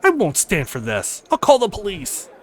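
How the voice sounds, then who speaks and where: A man's voice: high voice, wildly swooping pitch, moderate pace, darkish tone, a faint crowd, voice pushed up. A man voicing a self-righteous, scolding woman protester in a visual novel game. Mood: scolding, outraged. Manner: preachy, scolding. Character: indignant self-righteous woman protester berating a club's customers. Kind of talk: acted visual novel dialogue.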